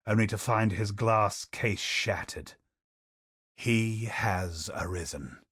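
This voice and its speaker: A man voicing a game character who recounts a dark war tale in slow, grave storytelling. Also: on the low side, no echo, studio quality. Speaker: game character narrating lore. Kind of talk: video game voice lines, lore recounting.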